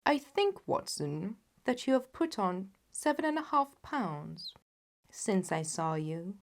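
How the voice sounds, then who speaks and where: A woman's voice: mid-range voice, very animated, slow pace. A woman voicing a keen, self-assured male detective with a precise, teasing, knowing manner in an audiobook. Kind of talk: audiobook character voice.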